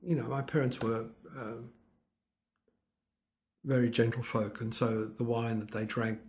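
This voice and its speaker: An older man, a winemaker guest speaking in a hesitant, halting way and often restarting his sentences. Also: mid-range voice, flat delivery, darkish tone. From Italy, raised in Australia. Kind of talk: conversational interview.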